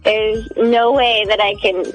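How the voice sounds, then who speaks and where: A woman's voice: a little high, steady tone, a little slow, soft background music, rough recording. A woman calling in to a radio show, chatting casually in an anxious, hesitant way. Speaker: caller. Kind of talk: casual phone-in chat.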